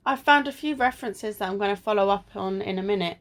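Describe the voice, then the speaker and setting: A woman's voice: mid-range voice, fast talker, lightly acted. A woman acting in an amateur radio play as a sensible, bossy sleuth with an exasperated, chiding manner. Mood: businesslike. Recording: recorded at home in isolation.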